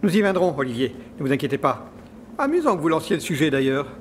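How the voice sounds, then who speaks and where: A man's voice: on the high side, very animated, fast, slight background noise. An actor playing a condescending villainous scientist in his forties, formal and courteous in a smug, controlling way, in an audio drama. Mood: sly, reassuring. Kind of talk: scripted audio drama acting.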